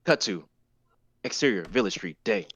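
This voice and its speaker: A man's voice: low-pitched, expressive, moderate pace, a bit bright, slightly rough, highs cut off. A young man narrating stage directions in a live amateur script reading. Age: young adult.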